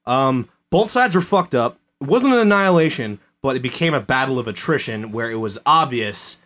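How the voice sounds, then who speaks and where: A man's voice: mid-range voice, lively intonation, normal speed, fairly bright tone. A man hosting a casual history podcast, retelling events with lots of swearing while drinking. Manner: swearing, storytelling, slips into voices for quotes. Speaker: podcast host.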